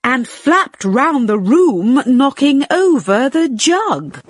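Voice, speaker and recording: A woman's voice: high-pitched, sing-song, slow. A woman narrating a rhyming children's story expressively, as a read-along.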